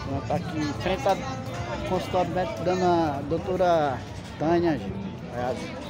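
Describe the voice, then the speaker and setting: High-voiced man vlogging through a noisy market. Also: normal speed. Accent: Northeastern Brazilian. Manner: friendly, points things out with repeated "olha aí, ó", religious greetings. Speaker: vlogger filming a street market. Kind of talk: casual walking vlog, greeting vendors. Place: outdoor street market, crowded. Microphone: handheld phone camera. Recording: busy market noise with loud music. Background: loud crowd chatter and music.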